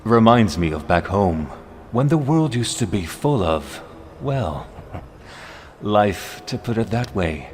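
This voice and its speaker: A man's voice: fairly low pitch, very animated, takes it slowly, a little hiss or hum. A man playing a refined, haughty vampire henchman in a fantasy audio drama, with a formal, wry, drawling delivery. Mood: smug, wistful.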